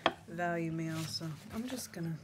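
A young woman playing an uneasy, politely pushy rural woman in a soft-spoken, hesitant ASMR roleplay. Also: lowish voice, moderate pace, very noisy, lightly acted. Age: young adult. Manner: hushed, hesitant, murmuring. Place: dim indoor room. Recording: close recording with music behind.

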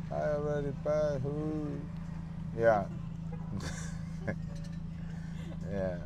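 Man, 30s, slow relaxed talk, driving, car and road noise behind. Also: fairly high pitch, gravelly. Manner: relaxed, slow, drawn-out musing with many fillers. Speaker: dance show host. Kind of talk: casual driving vlog talk. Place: inside a car on a city street. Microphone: phone camera on the dashboard.

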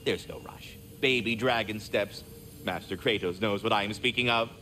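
A man's voice: on the low side, moderate pace, sharp tone, a little hiss or hum. A man voicing a polite magical squirrel in a video game, with formal, florid, theatrical diction. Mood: fond, wry.

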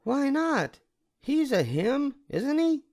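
A man's voice: very high-pitched, very animated, darkish tone, dry recording, clean studio sound, voice pushed up, full character voice. A man voicing a curious, cheeky young boy bear cub in a storybook reading. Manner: quick wisecracking kid voice. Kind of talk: storybook read-aloud.

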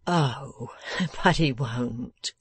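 A woman voicing a gentle, patient young woman in a storybook, soothing and affectionate. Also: rumbling low, drawn-out words, breathy edge, dry recording, heavily acted. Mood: reassuring. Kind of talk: dramatised storybook reading.

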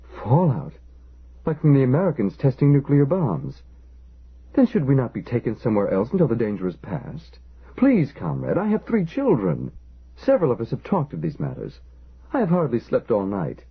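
A frightened, hesitant middle-aged storekeeper, as a male narrator puts him on, pleading and insistent, his voice rising high and swinging wildly as his worry grows. The voice sounds husky, and the recording is dull and lo-fi. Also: wildly swooping pitch, normal speed, exaggerated voice.

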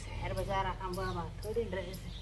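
A woman's voice: medium pitch, little pitch movement, moderate pace. Middle aged haryanvi lady chatting on a home vlog, bit bossy instructions, phone mic, slight bg noise. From rural Haryana, India. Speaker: family vlogger, mother. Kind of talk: casual home vlog chat. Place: village house and open courtyard. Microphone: handheld phone.

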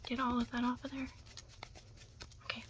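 A young woman doing an ASMR roleplay as a makeup stylist, speaking softly and breathily, often in a whisper, with reassuring asides. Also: a little high, completely flat delivery, moderate pace. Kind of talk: ASMR roleplay, close personal attention. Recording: close-up with clear background noise.

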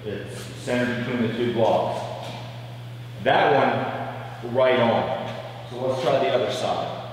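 A man's voice: medium pitch, takes it slowly, a little hiss or hum. Man talking in an echoey workshop. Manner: plain-spoken, practical, with restarts. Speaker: welder demonstrating fabrication. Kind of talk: casual shop instruction. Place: large echoey metal shop. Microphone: camera mic at a distance. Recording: echoey shop with long reverb.

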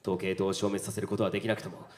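A man voicing a proud, formal gentleman fighter with grand resolve, in a visual novel game. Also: low voice, little pitch movement, very fast, reverberant, pitched below the performer's own voice, close to an everyday voice. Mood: resolute.

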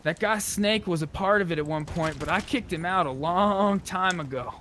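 A man with a slightly high voice talks conversationally, improvising in character during an online game. There is faint noise and ambience behind him. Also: moderate pace, fairly bright tone. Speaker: role-play gamer. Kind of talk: improvised in-character chat while gaming.

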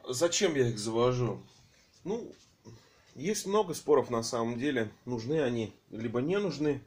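A man with a slightly hoarse voice from a cold, filming his aquariums at home on a handheld phone and talking in a rambling, hesitant way full of fillers. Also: mid-range voice, animated, moderate pace. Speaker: aquarium hobby vlogger. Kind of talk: casual home vlog, off-camera narration.

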